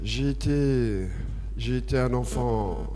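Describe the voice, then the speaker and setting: A man from France giving a personal testimony at a public debate, halting and repetitive at first, then impassioned and emphatic. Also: mid-range voice, dark tone, slight background noise. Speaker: association president speaking at a public debate. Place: debate hall with audience. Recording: long reverb of a large hall.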